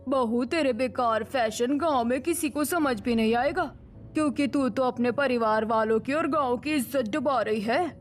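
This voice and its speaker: A woman voicing a judgmental, gossiping village woman in an animated story, with a sneering delivery. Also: sits high, moderate pace, a little hiss or hum, lightly acted. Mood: disapproving. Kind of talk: scripted Hindi animated story dialogue.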